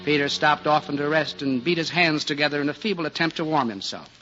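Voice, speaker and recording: A gentle storyteller, with a voice a little higher than most men's. He narrates in the measured, literary style of 1940s radio drama. Music plays behind him on a muffled old recording. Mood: gentle narration.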